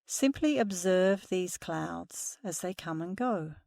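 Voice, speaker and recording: Slow, soothing woman guiding meditation. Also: lowish voice, animated, vocal fry, no echo. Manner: slow, soothing, soft-spoken guidance. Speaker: meditation instructor. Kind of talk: guided meditation.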